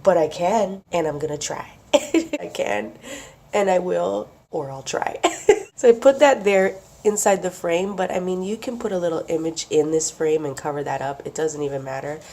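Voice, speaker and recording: A woman's voice: sits low, normal speed, warm tone, slight background noise. A young woman who is losing her voice and sounds sick and sniffly, chatting casually and laughing often as she shows off her craft work. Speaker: craft vlogger. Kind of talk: casual show-and-tell.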